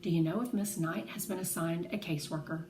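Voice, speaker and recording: A woman voicing a firm, protective, no-nonsense mother in a children's storybook, brisk and polite. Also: lowish voice, fairly even intonation, mellow, lightly acted. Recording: roomy echo, faint background noise.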